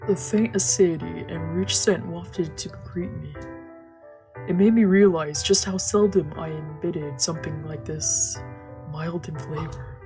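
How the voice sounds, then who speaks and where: A woman's voice: sits low, unhurried. A woman streaming a visual novel, reading its narration aloud and adding casual commentary. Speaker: let's play streamer. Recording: music behind the voice. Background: game music.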